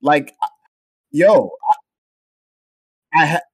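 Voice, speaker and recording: A man's voice: on the high side, expressive, slow, dry recording. A man with a Jamaican accent co-hosting a football podcast, talking in a heated, indignant way with many restarts. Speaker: podcast co-host. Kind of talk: casual football podcast chat.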